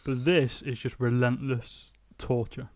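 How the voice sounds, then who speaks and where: Male podcast co-host, average pitch, conversational music reviewer, no room echo at all. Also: level tone, a little slow. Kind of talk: casual music review chat.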